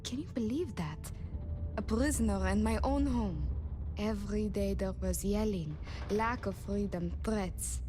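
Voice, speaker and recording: A woman's voice: medium pitch, measured pace, over music, lightly acted. An actress playing a sick, anxious young runaway woman, wary and wronged, in a video game. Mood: bitter, distressed. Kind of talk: video game dialogue acting.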